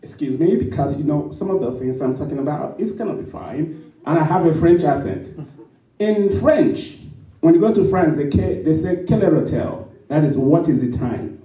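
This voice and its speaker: A man, a storyteller, giving a halting, then emphatic, speech to an audience. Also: a little high, animated, warm tone. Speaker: storyteller speaking at a summit. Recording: echoey hall, mic from a distance.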